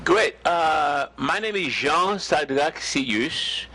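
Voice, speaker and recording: A man's voice: a little high, animated, slow pace, pushed and bright, a little hiss or hum. Earnest Haitian man at a forum. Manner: earnest, repeats himself, checks in with "okay?". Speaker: audience member asking a question. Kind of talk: public forum remarks.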